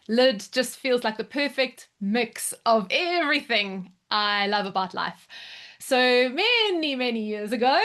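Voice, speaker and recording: A woman's voice: a little high, a bit bright. A woman with a South African accent, in her thirties or forties, introducing herself as a program mentor in an upbeat, casual way on a video call and laughing easily.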